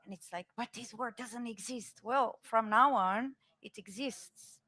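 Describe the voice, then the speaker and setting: A woman's voice: fairly high pitch, unhurried, sharp tone. A Dutch-born woman poet whose first languages are Dutch and Moroccan Arabic, speaking on a panel. She talks thoughtfully and moves between English and Moroccan Arabic as she tells her stories. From Netherlands. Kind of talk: panel discussion.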